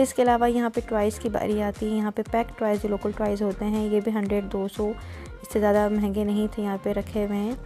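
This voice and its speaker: Chatty female vlogger, mellow tone, light music underneath. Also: medium pitch. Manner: chatty, explains prices step by step. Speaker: vlogger touring a market. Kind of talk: casual voice-over narration.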